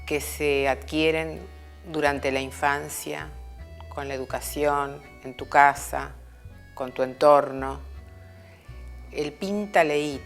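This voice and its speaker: A woman giving a thoughtful interview answer, listing ideas with hesitant restarts. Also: a bass voice.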